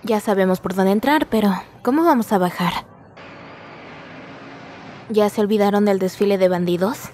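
An impatient, feisty young woman from an adventure game fan dub, voiced by a woman. She speaks at a medium pitch with a Latin American accent, over faint noise. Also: moderate pace, pauses often, dark tone, lightly acted. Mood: impatient, curious.

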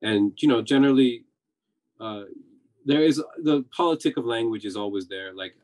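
A man's voice: medium pitch, moderate pace, darkish tone. A man in his forties, a TV director, talking casually on a video call from home, thinking aloud with restarts. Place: home room.

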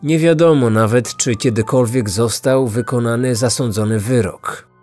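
A man's voice: mid-range voice, moderate pace, darkish tone. A man reading a scripted, dramatic true-crime narration as a podcast narrator. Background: music.

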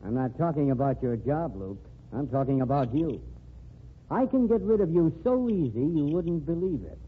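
A man's voice: on the high side, expressive, normal speed, lightly acted. A man playing a sneering, menacing ex-reporter. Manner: sneering, threatening. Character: menacing fired reporter, bitter, threatening man. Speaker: actor. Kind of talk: 1940s radio acting. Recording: tinny lo-fi old radio transcription with faint hiss.

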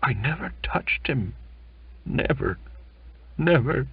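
A man's voice: on the low side, wildly swooping pitch, slow pace, no room sound, dull audio, voice pushed up, exaggerated voice. A man voicing a drunk, tearful sailor who stammers and sobs in a maudlin tone. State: drunk, crying.

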